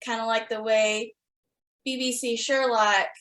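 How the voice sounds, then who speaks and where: A woman's voice: a little high, little pitch movement, a little slow, fairly bright tone. A woman hosting a podcast, chatting casually with her guests like an enthusiastic fan. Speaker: podcast host, interviewer. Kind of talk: casual chat.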